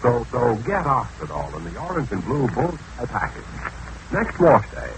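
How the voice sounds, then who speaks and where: Animated male radio ad announcer. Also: mid-range voice, normal speed. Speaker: commercial announcer. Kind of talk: 1940s radio advertising pitch. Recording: noisy old radio recording.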